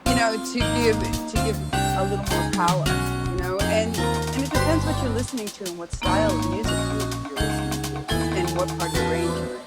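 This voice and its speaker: A woman's voice: medium pitch, expressive, a little fast, hardly any pauses, loud music behind the voice. A woman, a jazz singer and vocal coach, talks through singing technique in casual chat, explaining at length and leaning on "you know".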